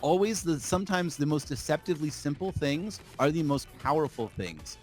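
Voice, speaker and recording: A man's voice: on the high side, expressive, normal speed, faint music behind. A man chatting casually on a podcast, slipping into an opinionated rant, emphatic and repetitive, with plenty of swearing.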